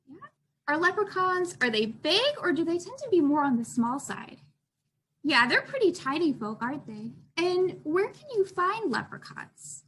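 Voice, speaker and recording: A woman's voice: high-pitched, fairly bright tone, a little hiss or hum. A woman librarian reading aloud for a children's storytime, expressively addressing young listeners.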